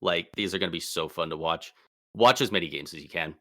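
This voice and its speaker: A man co-hosting a casual sports podcast, excitable and slangy, speaking with emphatic bursts. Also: deep voice, fast, no room sound, clean studio sound. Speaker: podcast co-host.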